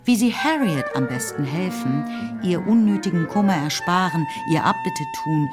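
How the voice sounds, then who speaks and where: A woman with standard German diction narrating a literary radio play in a measured, articulate storytelling manner. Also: fairly low pitch, expressive, natural voice. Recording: music behind the voice. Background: music.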